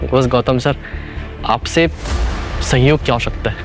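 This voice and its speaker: A man playing a fawning, deferential politician in an audio drama, full of flattery. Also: mid-range voice, a little slow, over music, natural voice. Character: fawning male politician, chief minister. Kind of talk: Hindi audio drama acting.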